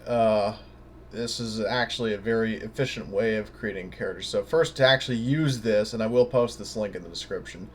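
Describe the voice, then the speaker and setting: A man giving a casual, unscripted tutorial in a hesitant, halting way, thinking aloud as he goes. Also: medium pitch, a little mellow, slight background noise. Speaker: tutorial presenter.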